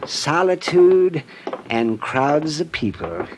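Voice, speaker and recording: Old tipsy drifter, naive and genial, animated swooping pitch, chuckling rambler, noisy vintage radio recording. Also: male voice, takes it slowly. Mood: chuckling, eager. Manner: chuckling, rambling, childlike, stammering. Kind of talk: old-time radio drama acting.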